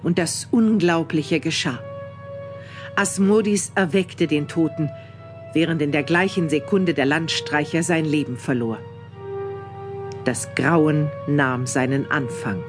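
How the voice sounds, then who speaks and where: Higher-voiced man narrating horror. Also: slow. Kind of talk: scripted horror audio drama narration. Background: music.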